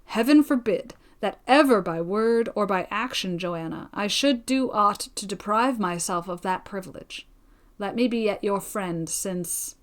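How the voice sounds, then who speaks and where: A woman reading a storybook voices a devoted, cautious gentleman suitor who pleads in formal period speech. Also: medium pitch, lively intonation, measured pace, natural voice. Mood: restrained longing.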